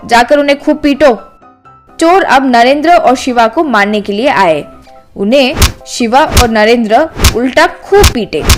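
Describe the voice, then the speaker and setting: A woman narrating a scripted children's story. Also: a little high.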